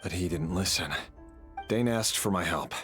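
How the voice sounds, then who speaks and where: A man's voice: sits low, normal speed, fairly bright tone, faint music behind. A man acting as a troubled, devoted figure in a fantasy video game, speaking with earnest intensity. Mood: tense, curt.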